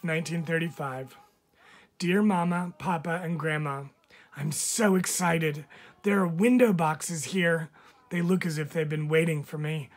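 A man, a teacher, reads a picture book of letters aloud with expressive, measured phrasing. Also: a little high. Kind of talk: storybook read-aloud of letters.

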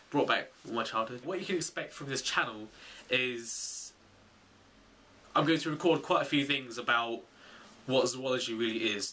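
A man in his twenties chatting casually to camera in a small bedroom, animated and full of fillers and self-corrections. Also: medium pitch, far from the mic, slight background noise. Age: 20s. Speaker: vlogger, zoology student. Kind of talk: casual vlog chat to camera.

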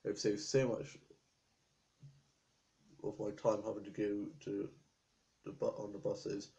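A man's voice: lowish voice, monotone, a little slow, vocal fry, dark tone, low-quality recording. A chatty young man from England rambling to a webcam about his week, with a slight sore throat. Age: young adult. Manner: chatty, rambling, stream of lists. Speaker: vlogger. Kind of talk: casual chat to camera. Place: small indoor room with appliances. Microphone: webcam at desk.

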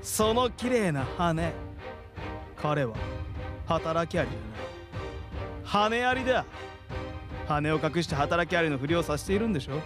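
A man's voice: on the high side, lively intonation, measured pace, pauses often. A man playing a cocky, carefree, teasing katydid in a theatrical radio drama style. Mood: taunting. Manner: theatrical, mock-polite turning taunting. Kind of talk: live radio drama acting. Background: music.

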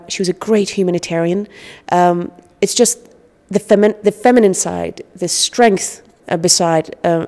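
Low-pitched woman speaking earnestly. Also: mellow, in a large hall. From Afghanistan. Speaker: interview guest, humanitarian. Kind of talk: earnest interview talk. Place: indoor lounge with sofas. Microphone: handheld microphone.

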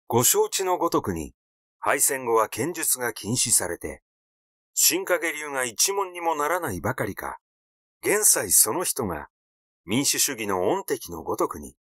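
A man reading literary fiction aloud as a narrator, in a measured storytelling delivery. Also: medium pitch, big pitch swings, a bit bright, dry recording, clean studio sound. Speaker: audiobook narrator.

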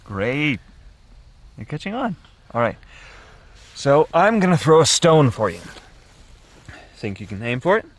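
A man playing a calm, self-assured outdoorsman mentor in an audio drama, measured and instructive, given to philosophy. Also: a little high. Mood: encouraging. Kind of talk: post-apocalyptic audio drama acting.

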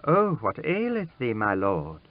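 A man's voice: medium pitch, wildly swooping pitch, measured pace, no echo. A man reading aloud and voicing a sweet young noble girl who speaks in a distressed, exclaiming way. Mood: alarmed. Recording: dull lo-fi dry recording.

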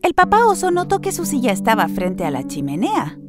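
A woman narrating a scripted children's story in an expressive storytelling manner. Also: fairly high pitch, a little fast, music in the background.

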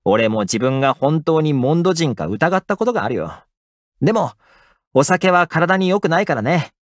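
Man voicing an animated, friendly young anime housekeeper. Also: fairly high pitch, no room sound, clean studio sound, close to an everyday voice. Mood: cheerful, warm. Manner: casual, gently attentive, expressive. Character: friendly, caring young male housekeeper, upbeat and helpful. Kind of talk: Japanese anime game character voice lines.

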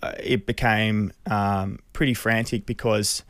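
An Australian man in his thirties telling a personal story in an interview, earnest, hesitant and measured, close on a studio mic. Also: fairly low pitch, steady tone, fairly bright tone, no echo. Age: 30s. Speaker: radio interview guest. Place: small radio studio. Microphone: close studio microphone.

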